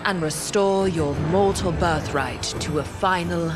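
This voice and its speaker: A woman voicing a vengeful ancient goddess who scorns humanity, speaking in a cold, measured, menacing game cutscene monologue. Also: on the low side, close to an everyday voice. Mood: cold menace. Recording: loud music behind.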